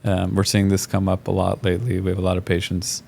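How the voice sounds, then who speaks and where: A man hosts a podcast interview, talking shop as a fellow dentist. His voice sits very low, with a gravelly edge and a flat, even pitch. He speaks in a measured, agreeable way, with frequent ums.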